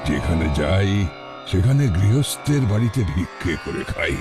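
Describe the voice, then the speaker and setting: Man as wandering holy mendicant, lowish pitch, solemn, measured, calm, fairy-tale drama, music behind. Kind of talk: Bengali fairy-tale audio drama acting.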